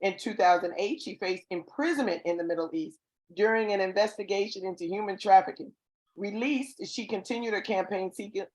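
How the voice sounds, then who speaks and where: A woman's voice: medium pitch, darkish tone, poor audio. A woman hosting an online awards ceremony over a video call, reading introductions effusively and stumbling over some words. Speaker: awards show host.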